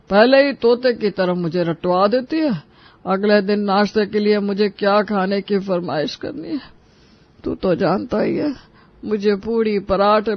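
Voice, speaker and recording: A man's voice: sits high, no echo, muffled recording. A man voicing a henpecked, complaining elder brother in a Hindi cartoon, talking in a familiar, plaintive way. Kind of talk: dramatic Hindi cartoon dialogue.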